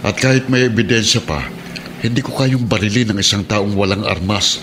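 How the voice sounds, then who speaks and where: A man's voice: fairly low pitch, steady tone, moderate pace, noisy background, close to an everyday voice. A man playing a troubled, earnest policeman husband with a Filipino accent in a radio drama.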